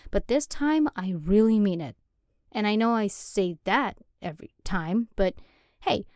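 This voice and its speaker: A woman's voice: medium pitch, warm tone, dry recording. A young woman voicing an affectionate, chatty female demon girlfriend in an ASMR roleplay, rambling and sometimes dropping to a whisper, close to the mic.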